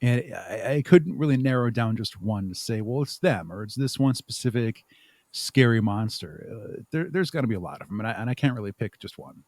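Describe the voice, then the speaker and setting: A man with a mid-pitched voice chats as an interview guest. He answers in a thoughtful, wandering way and plays himself down. The sound is dry and close, with little room in it. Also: lively intonation, brisk, darkish tone, dry recording. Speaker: podcast guest, audio drama creator. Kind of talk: casual interview conversation.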